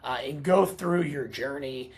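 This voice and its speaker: A man's voice: medium pitch, a little slow. A man hosting a podcast, asking questions and introducing the guest in an upbeat way. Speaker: podcast host. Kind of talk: podcast interview.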